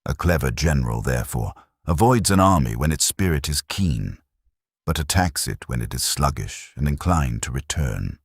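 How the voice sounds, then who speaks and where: A man narrates an audiobook in an extremely deep voice with a husky grain. His delivery is measured and solemn, yet the pitch moves noticeably through each phrase. Every statement is set down as a firm declaration. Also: lively intonation, dry recording, clean studio sound.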